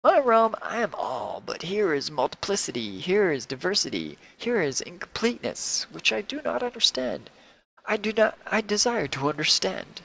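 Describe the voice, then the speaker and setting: A man voicing an all-powerful being from beyond, solemn and curious, speaking in halting declarations as in a comic-book reading. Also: sits high, wildly swooping pitch, gravelly, bright, forceful tone, exaggerated voice, rough recording.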